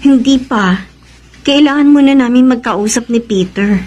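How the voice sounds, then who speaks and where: A Filipino woman playing a hopeful young woman stubbornly in love in a radio drama, expressive and a little defensive. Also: a little high, normal speed, soft background music, close to an everyday voice.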